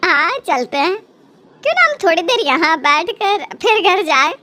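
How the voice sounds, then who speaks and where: A woman's voice: very high voice, big pitch swings, unhurried, pushed and bright, slight background noise, heavily acted. A woman acting an elderly mother-in-law in a Hindi cartoon, syrupy to people's faces and full of self-pity. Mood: sweetly caring. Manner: melodramatic, self-pitying, syrupy.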